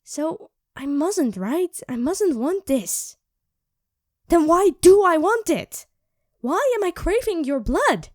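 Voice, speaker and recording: A woman voicing an irritable young female vampire who craves blood, speaking in a sharp, sarcastic way and often dropping to a whisper. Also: very high-pitched, sing-song, takes it slowly, no echo, clean studio sound, full character voice. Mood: craving. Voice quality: drops into soft whispers. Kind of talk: ASMR roleplay.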